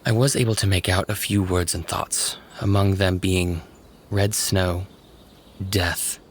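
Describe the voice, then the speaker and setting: Deep, formal, solemn seer played by a man. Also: fairly even intonation, a little hiss or hum. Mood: solemn, sympathetic. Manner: formal, measured wording.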